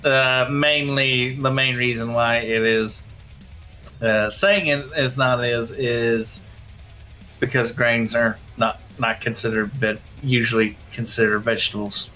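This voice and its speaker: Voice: mid-range voice, fairly even intonation, slow pace, sharp tone, soft background music. A livestream companion talking in casual chat.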